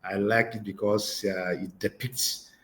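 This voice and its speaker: A man from Nigeria, a guest on a video call, answering questions in a measured way with many hesitation fillers. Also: fairly low pitch, level tone. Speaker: guest, writers' association secretary general. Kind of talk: interview answers.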